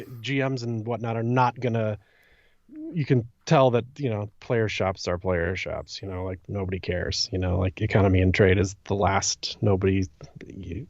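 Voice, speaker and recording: Guy with a lowish voice, casual podcast chat, kinda halting, bit muffled and dry. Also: no room sound. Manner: informal, halting, thinks out loud. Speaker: podcast guest and co-discussant.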